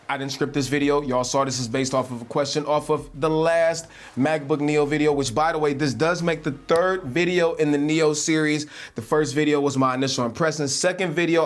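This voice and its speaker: A man hosting an unscripted tech vlog, talking casually and energetically with slangy asides, his voice slightly hoarse from yelling. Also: a little high. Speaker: tech video host. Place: home desk setup indoors.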